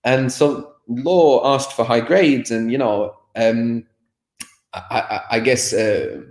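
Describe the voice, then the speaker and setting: A man's voice: medium pitch, slow, a little mellow, echoey room. A man as a podcast guest, talking candidly about his past, reflective, with many fillers and restarts. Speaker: interview guest, language teacher. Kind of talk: candid personal conversation.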